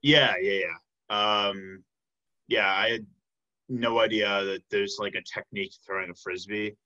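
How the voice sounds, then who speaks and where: A man's voice: fairly low pitch, fairly even intonation, a little slow, bright, forceful tone, muffled recording. A man hosting a casual interview, chatting in a friendly, informal way. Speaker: podcast host.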